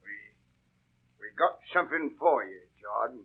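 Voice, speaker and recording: A surly mutinous pirate, gravelly and rough-spoken, with wildly swinging pitch. The scene is a dull-sounding vintage radio play. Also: male voice, lively intonation, moderate pace. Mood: sullen. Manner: rough, crude seaman's talk. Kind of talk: 1930s radio drama acting.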